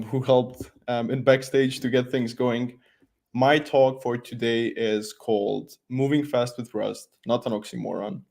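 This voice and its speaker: A young man speaks as a presenter at an informal tech event. His voice has a marked creak to it. He is self-deprecating and jokey as he goes. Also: medium pitch, darkish tone. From Croatia. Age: 20s. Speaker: conference speaker, developer advocate. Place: at home, makeshift desk setup, remote video call.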